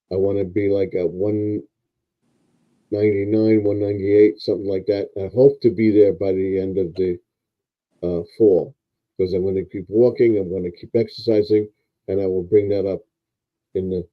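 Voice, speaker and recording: Low-voiced man in his seventies, lo-fi. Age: 70s. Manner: rambling, lots of fillers and restarts. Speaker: live stream host. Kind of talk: casual live stream chat.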